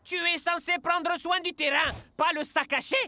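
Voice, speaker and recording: A man voicing a conceited, sarcastic, scolding groundskeeper inventor in an animated cartoon. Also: very high-pitched, moderate pace, noisy background. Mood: scolding, exasperated. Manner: pompous, sarcastic.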